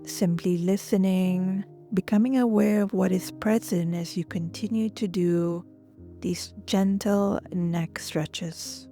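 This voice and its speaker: A woman leading guided relaxation and breathwork as a yoga instructor, gentle and soothing, often trailing off into a breathy near-whisper. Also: lowish voice, fairly even intonation, slow. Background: soft music.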